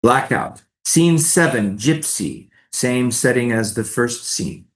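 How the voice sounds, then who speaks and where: A man's voice: mid-range voice, lively intonation, slow pace. A man reading stage directions aloud at a staged play reading.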